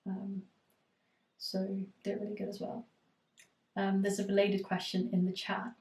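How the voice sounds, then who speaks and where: Roomy, flat-voiced woman coach with a cold. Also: mid-range voice, unhurried, distant mic. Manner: reflective, steady advice with frequent fillers. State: has a cold, voice going hoarse. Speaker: eating disorder recovery coach. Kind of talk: live group coaching Q&A.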